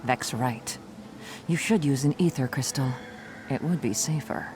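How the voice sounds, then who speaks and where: An actress playing an earnest, resolute human necromancer in a fantasy video game, speaking in a measured, solemn way. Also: female voice, rumbling low. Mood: concerned. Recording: faint music underneath.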